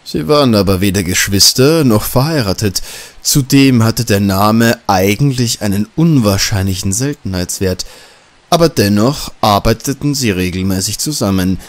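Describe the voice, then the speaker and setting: A man's voice: on the low side, animated, normal speed, no room sound. A man narrating a scripted horror story as an audiobook.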